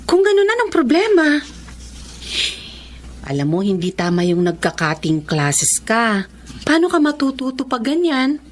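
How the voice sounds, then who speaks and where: Lively, caring Filipino woman teacher. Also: high-pitched, unhurried, long pauses, full character voice. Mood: concerned, probing. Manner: motherly, reassuring. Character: caring, encouraging woman teacher of blind students. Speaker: radio drama actress. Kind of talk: radio drama acting.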